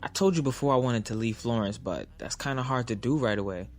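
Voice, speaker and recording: A man's voice: medium pitch, a little fast, close to an everyday voice. A man acting a blunt, candid lover in a naturalistic audio drama about a troubled romance. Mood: calm, explaining. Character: blunt, candid lover frustrated with married girlfriend. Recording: music behind the voice.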